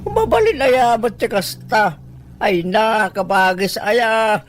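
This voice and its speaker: A woman's voice: on the high side, animated, slow pace. A woman voicing a firm, peacemaking uncle who coaxes and mediates in an Ilocano audio drama. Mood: coaxing. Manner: coaxing, mediating.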